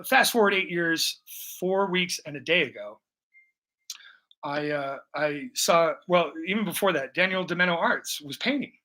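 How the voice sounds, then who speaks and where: A man's voice: on the high side, pushed and bright. A man in his forties chatting casually with viewers while painting live, rambling, joking and swearing. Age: 40s. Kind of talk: casual live-stream chat.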